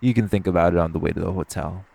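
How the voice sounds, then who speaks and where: Man playing a deep, gravelly, cocky male vampire. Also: racing, mellow, heavily acted. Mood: teasing, cocky. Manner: smug, taunting, drawling, playfully menacing. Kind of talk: intimate roleplay audio drama.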